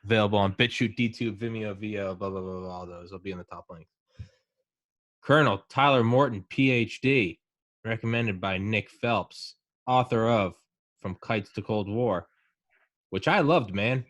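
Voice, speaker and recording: Male host, 30s, lowish voice, loose and excitable casual chatter. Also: normal speed. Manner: excitable, rambling, lots of "like" and "man". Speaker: podcast host. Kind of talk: casual interview chat.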